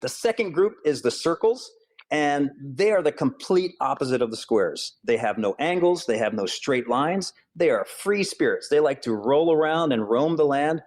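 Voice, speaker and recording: Guy from hawaii, author and counselor, calm earnest interview answers. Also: a little high, moderate pace. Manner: earnest, steady explaining.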